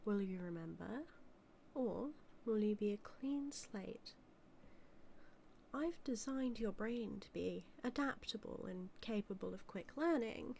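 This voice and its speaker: A woman with a British accent playing a doting, villainous mad scientist in an audio roleplay, musing in a measured, condescending yet tender way. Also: mid-range voice, lively intonation, creaky, a little mellow, a little hiss or hum. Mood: pensive, ambitious. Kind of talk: scripted audio roleplay monologue.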